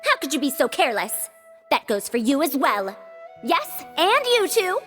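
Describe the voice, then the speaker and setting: A seasoned scholar in a game, firmly lecturing younger colleagues. Her voice is high and animated, with faint music underneath. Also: moderate pace. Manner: lecturing, reproachful, firm. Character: seasoned woman scholar, strict and reproachful.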